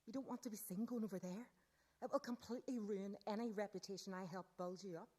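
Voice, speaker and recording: A woman's voice: mid-range voice, steady tone, fast, a little mellow, close to an everyday voice. A woman playing a snobbish, bossy teenage mean girl in a radio play, with a sneering, theatrical delivery. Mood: scornful mocking.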